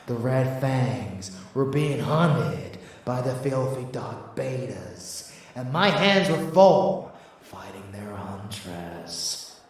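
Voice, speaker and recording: A man's voice: lowish voice, darkish tone, very echoey, far from the mic, slight background noise, heavily acted. A man playing a rebellious vampire lover in an intimate ASMR audio drama, teasing, boastful and doting, with menace underneath.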